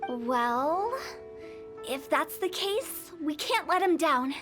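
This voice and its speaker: A woman's voice: high-pitched, expressive, takes it slowly, a bit bright, full character voice. A woman playing a quirky, confident young funeral director in an anime-style game, playful and self-assured. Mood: decisive. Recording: faint music behind the voice.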